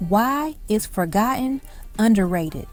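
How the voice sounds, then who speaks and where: A woman's voice: medium pitch, lively intonation, slow, a little mellow. A woman reading scripted narration for a music countdown, delivered in emphatic, short punchy phrases. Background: faint music under the voice.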